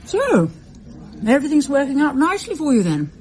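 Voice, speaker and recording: A woman's voice: high voice, huge pitch swings, a little fast, darkish tone, a little hiss or hum. An actress playing an older, disapproving mother in a radio drama, cutting, pointed and needling. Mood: reproachful.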